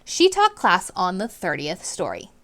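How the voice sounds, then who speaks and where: Animated woman reading aloud to children. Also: fairly low pitch, a little slow. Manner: animated, dramatic emphasis on key words. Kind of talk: expressive children's read-aloud with questions to listeners.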